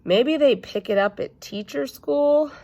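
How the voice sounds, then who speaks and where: A woman's voice: high voice, unhurried, mellow, lo-fi sound, pitched above the performer's own voice. A woman voicing a stubborn, blunt little schoolgirl in a children's storybook, with childish emphasis. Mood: cheeky, curious.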